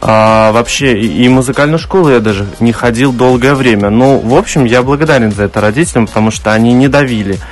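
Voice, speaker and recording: A man with a baritone voice answering interview questions in a thoughtful, explanatory, conversational way. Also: fast talker, few pauses, soft background music. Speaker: interview guest, classical singer.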